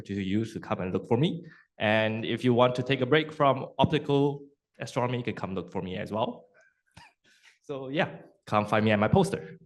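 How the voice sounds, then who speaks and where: A young man, a recent graduate, giving a cheerful, playful flash talk at a conference. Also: mid-range voice. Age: young adult.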